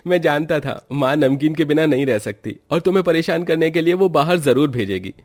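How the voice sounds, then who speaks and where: A man's voice: on the high side, expressive, normal speed, a little mellow, pitched above the performer's own voice. A man voicing a caring young husband in a cartoon story, tender and protective in manner. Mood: affectionate. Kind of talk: acted Hindi cartoon story dialogue.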